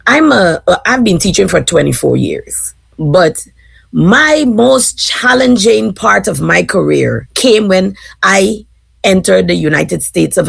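A woman in her forties with a Guyanese accent speaks slowly and thoughtfully as a panel guest, pausing with frequent fillers. Her voice is gravelly and slightly husky, a little lower than most. The sound is dry and close. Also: fairly bright tone, no echo. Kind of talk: casual panel discussion.

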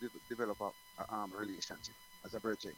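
A man's voice: lowish voice, wildly swooping pitch, quick pace, a crowd in the background, low-quality recording. A middle-aged man from Jamaica, a guest singer talking casually in an interview, rambling with many restarts.

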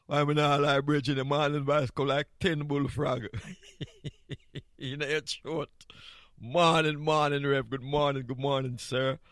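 A man's voice: a little high, little pitch movement, slow pace, pauses often, fairly bright tone, no room sound. A man with a Jamaican accent, sitting in as a morning radio host, chatting jovially with chuckles and repeated greetings. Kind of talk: casual morning radio chat.

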